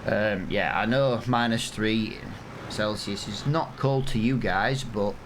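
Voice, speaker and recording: English man in his thirties, lowish voice, chatty game commentary, steady fan hum behind. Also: slow. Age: 30s. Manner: chatty, rambling, self-mocking. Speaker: gaming channel host. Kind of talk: casual let's-play commentary.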